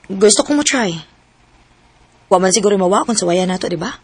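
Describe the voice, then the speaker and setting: A woman's voice: mid-range voice, expressive, brisk, breathy edge. An actress playing a guarded, plain-spoken young tomboy woman in a radio drama, with a casual, unaffected manner. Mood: tentative, sincere.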